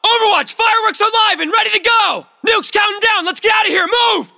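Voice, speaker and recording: An actor playing a tough squad soldier in a military shooter game, giving urgent, clipped battlefield callouts. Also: male voice, very high-pitched, fast, vocal fry, sharp tone, shouting, long reverb tail, full character voice. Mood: urgent shouting. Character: tough male soldier on a squad fighting aliens.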